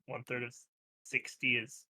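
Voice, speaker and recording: A man co-reading on a game livestream, halting as he reads lines and works through puzzles aloud. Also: mid-range voice, monotone, sharp tone.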